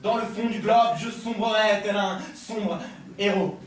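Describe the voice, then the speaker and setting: A man's voice: high voice, steady tone, a little slow, far from the mic. A man from France performing slam poetry, declaiming rhymed first-person verse rhythmically at a live event. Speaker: slam poet at a poetry competition. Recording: roomy live event captured from afar, faint noise.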